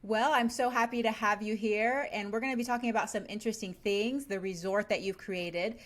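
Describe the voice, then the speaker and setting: A woman's voice: mid-range voice, fairly even intonation, fairly quick. A woman hosting a podcast, introducing her guest and asking questions in a friendly, upbeat way. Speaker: podcast host, doctor.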